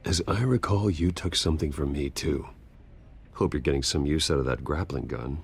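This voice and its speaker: A man's voice: a bass voice, normal speed, creaky, husky, heavily acted. A man playing a wealthy, guarded billionaire with a secret vigilante life in a video game, speaking tersely and dryly. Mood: guarded, firm. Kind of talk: dramatic video game dialogue.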